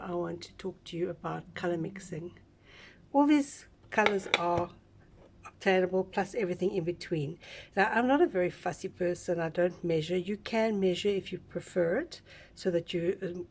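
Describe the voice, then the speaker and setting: A woman with a slightly croaky voice, giving a chatty, relaxed step-by-step craft tutorial with small asides. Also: lowish voice, slight background noise. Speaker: craft instructor.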